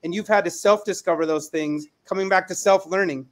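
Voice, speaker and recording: A middle-aged man co-hosting a panel on a video call, speaking conversationally in an emphatic, deliberate way. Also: high-pitched. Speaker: co-host, educator.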